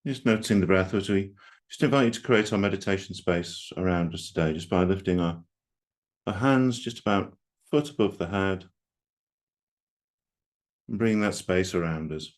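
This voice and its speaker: A man with a low voice guides a meditation for a group. He speaks gently and takes his time, leaving long, open pauses between short phrases. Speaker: meditation guide leading a live group session.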